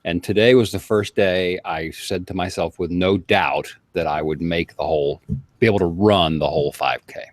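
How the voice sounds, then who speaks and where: Low-voiced man in his fifties. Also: moderate pace, dry recording. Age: 50s. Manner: trailing off, searching for words. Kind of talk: casual panel chat.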